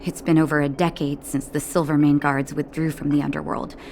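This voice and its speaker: An actress voicing a calm, caring woman doctor in a video game, speaking in a gentle, reassuring way. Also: sits low, a little fast, a little hiss or hum. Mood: gentle, serious. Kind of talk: scripted game dialogue. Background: music.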